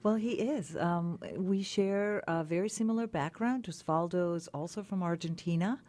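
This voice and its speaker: A woman cellist as a radio interview guest, talking thoughtfully with many pauses and restarts. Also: fairly low pitch, a little mellow. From Israel.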